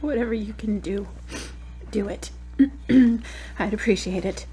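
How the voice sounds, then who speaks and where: Husky-voiced woman acting an anxious, grieving daughter. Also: medium pitch, unhurried, dark tone. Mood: subdued. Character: anxious, grief-stricken daughter, outspoken and tender. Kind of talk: audio drama dialogue.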